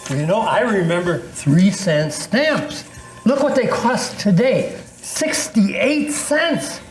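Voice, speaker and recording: A man's voice: high voice, animated, takes it slowly, faint music behind. An older man playing a skeptical, wisecracking older friend with a folksy manner in an amateur readers theatre piece. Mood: bemused. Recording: roomy echo with faint noise.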